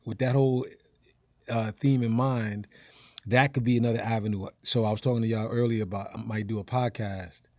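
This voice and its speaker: An American man, a stand-up comedian guesting on a podcast, talking casually in slang and thinking out loud. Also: lowish voice, fairly even intonation, a little fast, no room sound, studio quality.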